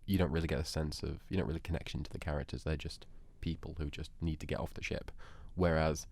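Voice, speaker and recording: Deep-voiced man in a casual debate. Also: steady tone, fast talker, darkish tone. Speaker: podcast host debating films. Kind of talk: casual debate about films and games.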